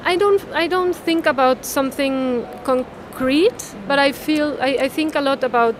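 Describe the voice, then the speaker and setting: A woman, talking haltingly and thoughtfully about her artwork, often tagging phrases with "no?". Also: high voice, a little hiss or hum. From Mexico. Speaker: artist. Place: large indoor art fair hall. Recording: crowd chatter in a busy hall.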